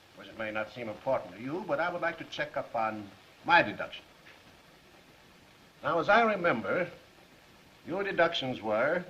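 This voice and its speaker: An actor playing a shrewd district attorney in a 1930s film, questioning a suspect with deliberate, mock-polite insistence. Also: male voice, mid-range voice, a bit bright, natural voice. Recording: muffled 1930s film soundtrack.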